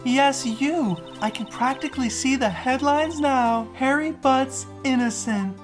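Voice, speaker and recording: A man voicing a poised, kindly woman who is a chief lawyer and mentor, measured and gently teasing, in a fan-dubbed game. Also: very high voice, music in the background. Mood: amused. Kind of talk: fan-dub video game dialogue.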